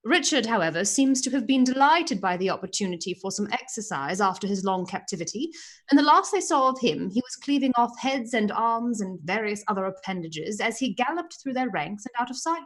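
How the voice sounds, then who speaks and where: A woman's voice: a little high, lively intonation, a little fast, close to an everyday voice. An actress playing a haughty, scheming princess in a radio play, mocking and silky in her charm. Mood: sly.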